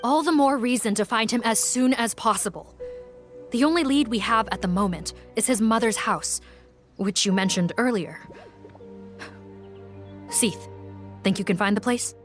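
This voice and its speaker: An actress playing a calm, composed officer in charge in a video game, speaking with quiet authority. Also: female voice, a little high, normal speed, long pauses, soft background music, natural voice.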